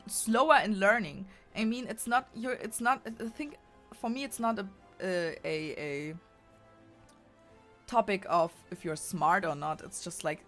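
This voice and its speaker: A woman's voice: medium pitch, a bit bright. German-accented woman chatting on stream. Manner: rambling, frequent restarts and self-corrections. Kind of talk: casual chat.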